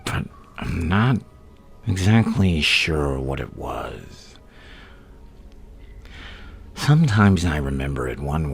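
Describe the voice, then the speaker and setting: Crazy evil clown villain, gravelly half whisper asmr, super slow and taunting, swings up and down a lot, some background noise. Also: male voice, rumbling low, heavily acted. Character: menacing, gleefully insane adult male supervillain clown.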